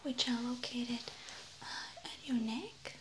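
A woman whispering gently and reassuringly, unhurried and breathy, as a medical examiner in an ASMR roleplay on a close binaural microphone. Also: on the high side. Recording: faint background noise, close-miked whisper.

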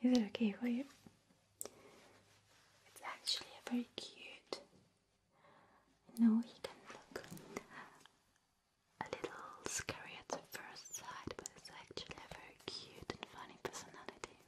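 A woman who is a non-native English speaker, playing a gentle, caring alien visitor in a breathy, soothing whispered ASMR roleplay. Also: on the high side, level tone, natural voice. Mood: reassuring. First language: not English. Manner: slow, soothing, reassuring, with soft giggles. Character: gentle, caring female alien visitor coaxing a human along. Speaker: ASMR creator.

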